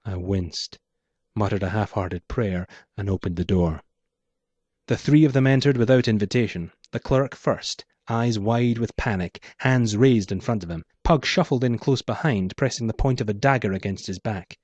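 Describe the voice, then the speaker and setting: A man with a lowish voice narrates a hard-boiled noir story as its author. The recording is very dry and slightly dull, lacking some crispness. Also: moderate pace, darkish tone, no echo. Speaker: author reading his short story. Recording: dull muffled lo-fi audio.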